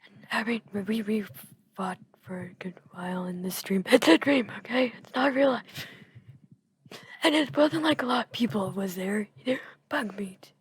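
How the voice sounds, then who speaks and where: Breathy whispering woman gamer. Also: mid-range voice, normal speed. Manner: soft whisper, rambling and musing. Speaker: video creator. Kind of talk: whispered gameplay chat.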